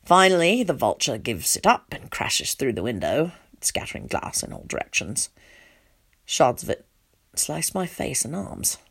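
Woman voicing a hushed, shaken, distraught father. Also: low-pitched, big pitch swings, vocal fry, slightly rough, voice pushed down, heavily acted. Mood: numb, shaken. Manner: hushed, halting, tense.

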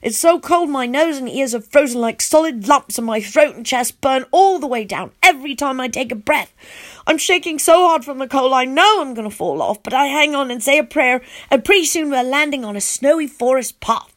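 A woman voicing a brave, excitable young child who recounts an adventure in an earnest, emphatic way. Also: high voice, normal speed, pitched above the performer's own voice. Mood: breathless.

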